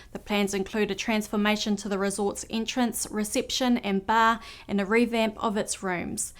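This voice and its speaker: Measured woman reading the news. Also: mid-range voice. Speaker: news anchor.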